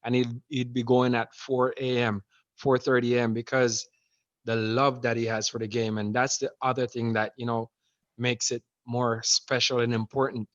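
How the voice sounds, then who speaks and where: A man's voice: mid-range voice, flat delivery, normal speed, a bit bright, dry recording. A man speaking earnestly on a phone video call as host, often repeating words as he speaks. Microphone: phone held close on a video call. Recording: muffled phone-call audio.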